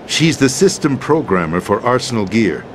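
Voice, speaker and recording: A man's voice: mid-range voice, big pitch swings, normal speed, background noise, lightly acted. An actor playing a resolute, urgent American president in a video game drama, solemn and commanding in his delivery. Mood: grave, urgent. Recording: loud music bed under voice.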